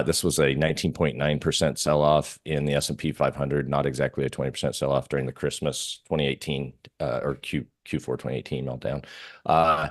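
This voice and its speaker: Guy with a really low voice chatting about markets, repeats himself, loose informal delivery. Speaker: interview guest, market analyst. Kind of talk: casual market commentary.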